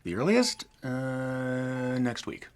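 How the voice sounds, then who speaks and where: Cold male physician, audio drama. Mid pitch, very lively inflection, politely in command. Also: takes it slowly. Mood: coolly businesslike. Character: smooth, cold male doctor running private hospital. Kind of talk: scripted audio drama acting.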